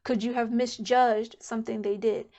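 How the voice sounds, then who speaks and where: A woman's voice: on the high side, flat delivery, moderate pace, dark tone, muffled recording. Chatty woman giving a tarot reading. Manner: chatty and candid, thinking aloud. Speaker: tarot card reader. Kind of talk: casual pick-a-card reading to camera. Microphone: camera mic.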